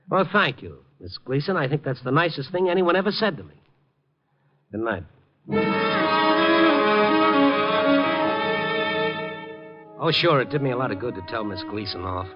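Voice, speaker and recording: A man's voice: medium pitch, lively intonation, a little fast, lightly acted. An actor playing a compassionate, world-weary man in an old radio drama, earnest and wry in manner. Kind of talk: 1950s American radio drama acting and narration. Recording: muffled 1950 radio broadcast, faint music.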